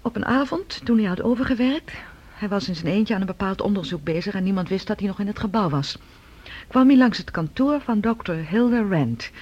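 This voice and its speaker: An actress playing the earnest, formal adult daughter of a scientist in a radio drama, speaking persuasively. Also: female voice, mid-range voice, animated, brisk, a little mellow, husky. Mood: grave, confiding. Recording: dull, lo-fi radio recording.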